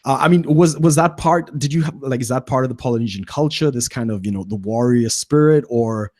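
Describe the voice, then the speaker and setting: A man's voice: medium pitch, brisk, dark tone, no echo. Host: man in his thirties, dry close mic, rambling friendly questions. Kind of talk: casual interview. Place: small room at home. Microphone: studio mic on boom arm, headphones.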